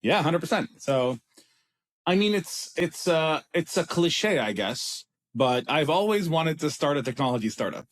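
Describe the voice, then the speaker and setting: A man's voice: a little high, expressive, unhurried. Israeli man, casual rambling interview talk. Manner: rambling, self-deprecating, many restarts. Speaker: startup CEO guest.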